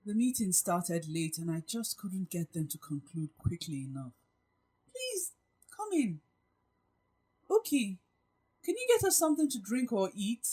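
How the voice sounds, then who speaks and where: A woman playing a gracious, composed and caring office boss in an audio drama, politely attentive and gently probing. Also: medium pitch, very animated, unhurried, long pauses, slightly rough, rough recording. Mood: gracious, welcoming. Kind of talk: acted audio drama dialogue.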